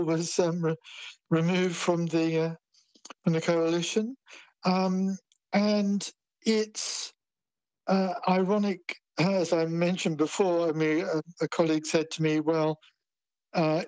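A middle-aged man from Australia speaks as an academic guest in a radio interview, thinking aloud with many restarts and pauses. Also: fairly high pitch, darkish tone, no room sound, rough recording. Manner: hesitant, many fillers and restarts.

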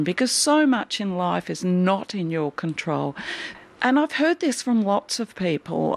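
A woman's voice: medium pitch, gravelly. A woman, an artist answering interview questions in a thoughtful, candid way. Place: art studio.

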